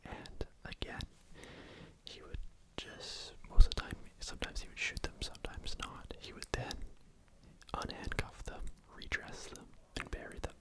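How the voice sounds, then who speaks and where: A man telling a true crime story in a soft unvoiced ASMR whisper, casual, with restarts and fillers. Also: measured pace, low-quality recording.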